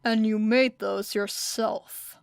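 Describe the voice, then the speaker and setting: A woman's voice: a little high, steady tone, slow pace, creaky, clean tone, dry recording. Casting: stern, fed-up male mentor in an audiobook story played by a woman, curt and incredulous, dry close sound. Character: stern, exasperated adult male hero teacher.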